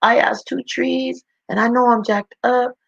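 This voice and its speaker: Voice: medium pitch, steady tone, a little slow, gravelly, fairly bright tone, no room sound, lo-fi sound, voice pushed up. A storyteller voicing a small injured male bird, humble and hopeful, pleading politely in a sing-song way for a children's story.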